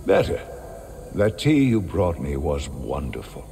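An older man playing a courteous, learned Jedi scholar in a video game, speaking in a measured, formal, gently wry way. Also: extremely low pitch, huge pitch swings, creaky, a little hiss or hum, full character voice. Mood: genial. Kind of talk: video game cutscene acting. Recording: reverberant game scene with music. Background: cinematic music.

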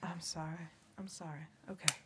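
A young woman playing an irritated, weary backwoods criminal in a whispered, soft-spoken ASMR roleplay, muttering at a clumsy partner. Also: on the low side, fairly even intonation, moderate pace, heavy background noise, lightly acted. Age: young adult. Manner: exasperated, muttering.

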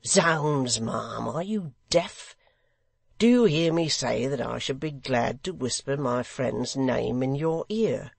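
Male narrator as sly blind villain, gravelly, mock-courteous, coolly menacing, theatrical, storybook character, close dry sound. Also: medium pitch, expressive, slow, dry recording, heavily acted. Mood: impatient. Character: sly, pompous blind man, cool and menacing extortioner.

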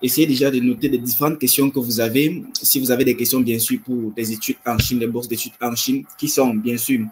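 A man's voice: medium pitch, fairly even intonation, brisk, hardly any pauses, a little hiss or hum. A man, hosting a casual livestream on a video call with enthusiasm and repeating phrases for emphasis. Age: 20s. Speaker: livestream host. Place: indoor room with wood-panelled wall. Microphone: webcam video call.

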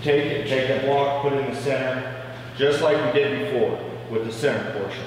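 A man's voice: mid-range voice, moderate pace, a little hiss or hum. A man in his thirties giving plain-spoken, practical welding instruction in a large metal shop, recorded on a camera mic some distance away. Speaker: welder demonstrating fabrication. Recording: echoey shop with long reverb.

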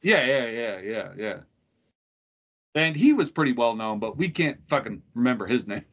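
A man's voice: medium pitch, sing-song, moderate pace, fairly bright tone, lo-fi sound. A man in his thirties co-hosting a podcast, bantering loosely with laughter and swearing over a video call from home. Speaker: podcast co-host. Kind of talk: casual profane chat.

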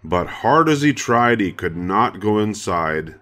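A man's voice: on the low side, lively intonation, measured pace, pushed and bright, faint music behind. An older man narrating a children's story from a script. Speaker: storybook reader.

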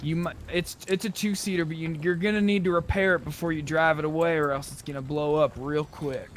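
A man's voice: on the high side, steady tone, fairly quick. A man chatting casually as he guides other players in an online roleplay game. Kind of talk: casual chat while roleplaying in a video game. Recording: faint keyboard and game background noise.

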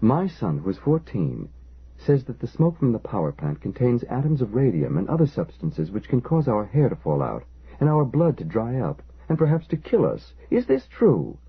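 A man's voice: mid-range voice, huge pitch swings, moderate pace, slightly rough, voice pushed up, heavily acted. A man voicing a frightened, hesitant middle-aged storekeeper who pleads anxiously and insistently. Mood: pleading. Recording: dull lo-fi recording.

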